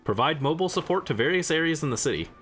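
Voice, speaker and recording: A man voicing a composed, eloquent investigator and commander in a game, speaking in a measured, formal, deliberate way. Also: on the high side, lively intonation, fairly bright tone, soft background music, voice pushed up. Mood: calm, commanding.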